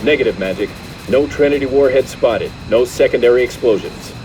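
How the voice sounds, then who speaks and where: A man playing a calm, commanding military squadron leader in a flight combat game, giving terse orders. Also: medium pitch, brisk, background noise, lightly acted. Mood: focused, commanding.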